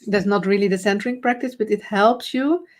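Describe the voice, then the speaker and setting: A woman with a Dutch accent speaks as a confidence coach in a live online conversation. Her English is fluent but not native, and she explains things in a friendly, loose way, restarting sentences and adding fillers as she goes. Her voice sits at an ordinary middle pitch. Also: fairly quick. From Netherlands. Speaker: confidence trainer and host.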